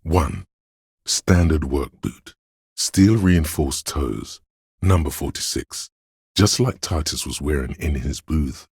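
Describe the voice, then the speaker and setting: Very deep, grave analytical inner voice. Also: male voice, lively intonation, creaky, darkish tone, husky, no echo, exaggerated voice. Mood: clinical, focused. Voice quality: very deep, resonant bass. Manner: deliberate, clinical, measured, dryly judging. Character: analytical detective's inner voice, grave, observant, coolly exacting. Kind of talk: dramatic second-person game narration.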